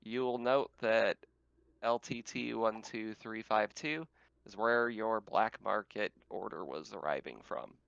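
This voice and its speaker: Smug male villain, acted by a game master, smooth voice, formal and menacing, tabletop game, muffled dry recording. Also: on the low side. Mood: calmly taunting. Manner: measured, formal, calmly menacing. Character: smooth, smugly polite male villain taunting by video call.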